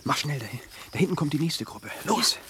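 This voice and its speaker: A man playing a hesitant demolition worker in a naturalistic radio play, speaking haltingly. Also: on the high side, very animated, noisy background. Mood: urgent. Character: hesitant male demolition worker visiting animal park.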